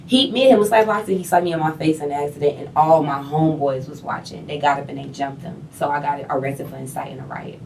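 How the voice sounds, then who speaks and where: Low-voiced woman telling stories animatedly. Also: fast, vocal fry, hardly any pauses, recorded from a distance, noisy background. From Boston. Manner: animated storyteller, repeats phrases. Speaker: guest singer and rapper. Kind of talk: casual interview chat.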